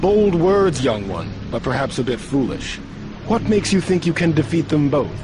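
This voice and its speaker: A man playing an honourable older martial arts champion in a video game, formal, courteous and deliberate. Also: fairly high pitch, sing-song, noisy background. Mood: earnest, concerned. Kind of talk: video game cutscene acting.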